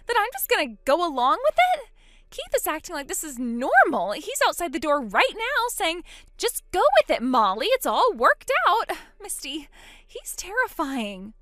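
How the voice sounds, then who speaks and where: A woman voicing a frightened young woman who pleads and panics in a high, strained voice. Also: huge pitch swings, moderate pace, fairly bright tone, no echo, clean studio sound, full character voice. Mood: scared.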